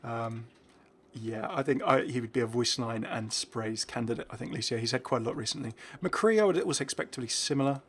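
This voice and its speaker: A man's voice: medium pitch, a little fast, a bit bright, a little hiss or hum. Man giving casual gaming commentary. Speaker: gaming video host. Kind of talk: casual unscripted game commentary.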